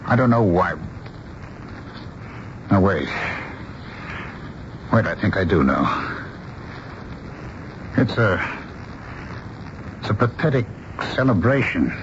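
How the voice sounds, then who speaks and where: A man's voice: fairly low pitch, sing-song, slow pace, pauses often. An actor playing a bewildered, soothing middle-aged father, earnest and hesitant, in a 1970s radio drama. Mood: dawning pity. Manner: hesitant, repeating himself, earnest. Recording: muffled, noisy old radio broadcast.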